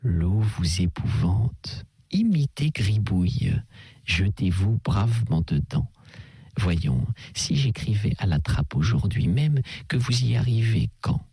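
A man voicing a soft-spoken, whispery old priest. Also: fairly low pitch, big pitch swings, slow, breathy edge, no room sound, exaggerated voice. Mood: gently persuasive. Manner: soft, half-whispered reassurance. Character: kindly older priest gently coaxing, male. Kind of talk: audiobook character voice.

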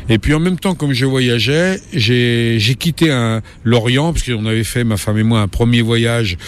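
A man in his late sixties, a travel reporter, answering interview questions in a relaxed way, pausing often with fillers and restarting his sentences. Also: medium pitch, a little hiss or hum. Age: 60s. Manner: hesitant, many fillers and restarts.

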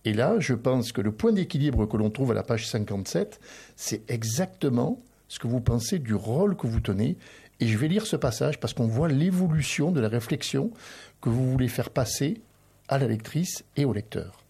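A man's voice: mid-range voice, animated, normal speed, warm tone, husky. A male interviewer asking long questions and reading passages from a book aloud. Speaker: interviewer, host.

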